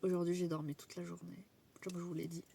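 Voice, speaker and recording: A young woman chats to her camera in a loose, rambling vlog style and swears now and then. She sounds sick and worn out. Her voice sits low and carries a gravelly fry. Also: little pitch movement, fast, mellow, slight background noise. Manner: chatty, rambling, casual swearing. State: sick and tired. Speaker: vlogger. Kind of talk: casual vlog chat to camera.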